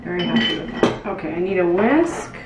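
A woman with a fairly low voice talks through her cooking in a loose, halting, casual way. She is some distance from the mic, so the kitchen's echo comes through clearly around her words. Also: animated. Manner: loose, halting chat with frequent "like". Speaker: cooking show host. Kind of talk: casual cooking demonstration, talking while working. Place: small indoor kitchen with tiled floor. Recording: roomy, distant mic with loud room noise.

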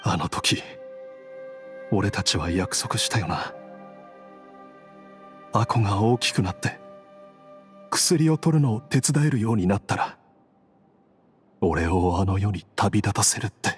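An actor playing a gentle, dying father in a Japanese video game, speaking in a solemn, wistful, halting way. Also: lowish voice, lively intonation, faint music behind. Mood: pleading, resigned. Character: dying gentle father, weary, devoted to his family.

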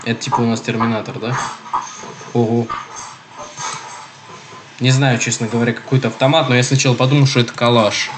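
A man's voice: medium pitch, flat delivery, fairly quick, pauses often, noisy background. A young man with a cold and a nasal, stuffy voice, chatting casually through a game and reading its text aloud. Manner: chatty, rambling, self-correcting. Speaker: gaming video host.

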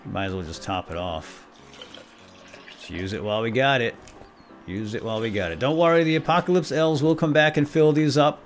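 A man's voice: mid-range voice, fairly quick, soft background music. A man hosting a let's play, giving casual gameplay commentary with playful exclamations and asides.

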